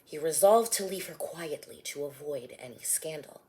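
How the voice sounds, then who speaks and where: Woman storybook narrator, lowish voice, very lively pitch, sing-song rhymed reading. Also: normal speed. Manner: expressive, sing-song rhyming delivery. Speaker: author and storybook reader.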